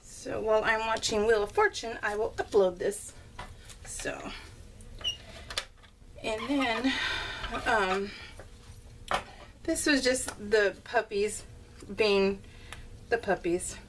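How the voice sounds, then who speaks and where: A woman's voice: mid-range voice, slow pace, long pauses, background noise. A middle-aged woman chatting casually and unscripted to viewers as a craft vlogger, in a giggly, rambling way. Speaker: craft vlogger presenting her scrapbook layouts. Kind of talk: casual, unscripted chat to viewers while showing a scrapbook.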